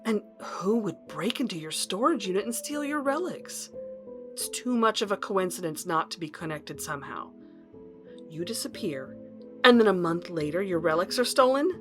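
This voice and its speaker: A woman's voice: mid-range voice, lively intonation, moderate pace, music in the background, lightly acted. A woman playing a questioning wife searching for her missing husband in an audio drama. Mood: wistful, pleading.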